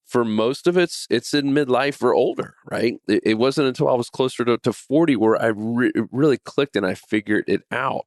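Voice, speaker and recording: A man in his forties talks straight into a close, dry microphone. His pitch sits in an ordinary middle range, and his delivery is earnest and conversational. He often stumbles and repeats words while hosting a casual faith talk. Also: normal speed, hardly any pauses, no echo. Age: 40s. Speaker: podcast host.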